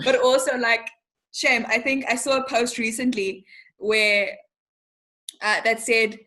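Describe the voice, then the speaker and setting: A young woman from Zimbabwe chats openly as an interview guest. She sounds frank and animated, with a fairly high voice and plenty of casual slang. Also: slow.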